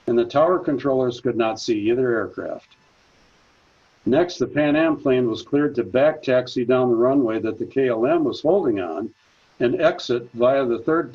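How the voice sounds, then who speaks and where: A man's voice: mid-range voice, slightly rough, muffled recording. A man presenting a prepared talk at a senior center, reading steadily from his notes. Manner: measured, reads from notes.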